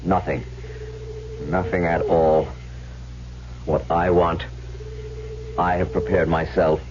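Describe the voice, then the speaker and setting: An actor playing a tormented young huntsman, gravely and dramatically recounting his tale in old-time radio style. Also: sits low, level tone, slow, background noise. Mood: defiant. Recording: dull old radio recording.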